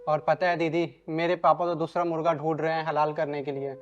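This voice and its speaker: A man's voice: fairly high pitch, flat delivery, brisk, lo-fi sound, lightly acted. A young man playing a devoted, anxious runaway groom in a Hindi moral drama. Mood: anxious devotion.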